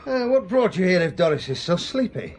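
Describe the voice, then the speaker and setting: An actor playing a jovial, sociable English gentleman with a hearty, urbane manner in an audio thriller. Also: high-pitched, big pitch swings, brisk, slight background noise. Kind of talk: spy thriller audio drama acting.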